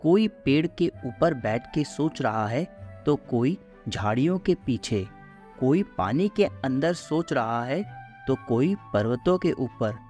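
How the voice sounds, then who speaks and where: A man's voice: mid-range voice, animated, slow pace, darkish tone, faint music behind. A man narrating a scripted children's story as the storyteller.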